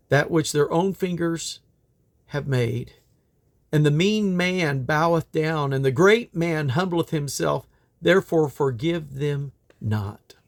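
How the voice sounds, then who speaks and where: A man reading Bible scripture aloud in old King James English, in a solemn, declamatory manner. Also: a little high. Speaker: Bible reader.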